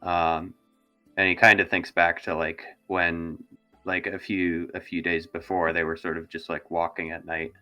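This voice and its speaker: A man's voice: low-pitched, level tone, unhurried, pushed and bright, faint music behind. A man playing in a tabletop roleplaying game, casually and hesitantly describing his character as he feels for words.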